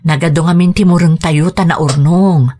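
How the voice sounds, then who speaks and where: A woman's voice: lowish voice, steady tone, normal speed, warm tone, lightly acted. A woman playing a patient, pleading wife and mother in an Ilocano radio drama. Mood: earnest, pleading.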